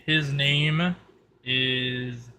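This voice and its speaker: A man narrating a tabletop roleplay as game master, in measured phrases with terse replies. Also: mid-range voice, fairly even intonation, pushed and bright. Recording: clear background noise.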